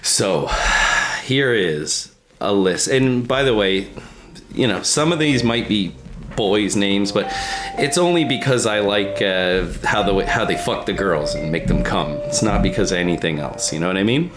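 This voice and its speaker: A man's voice: on the low side, lively intonation, normal speed. A man co-hosting a comedic podcast, chatting casually and joking with plenty of profanity. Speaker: podcast co-host.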